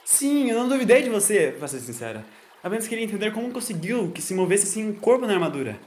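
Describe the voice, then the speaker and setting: A man's voice: sits high, very animated, fast talker, a little mellow, pitched above the performer's own voice. Man doing a curious, sceptical young Brazilian woman.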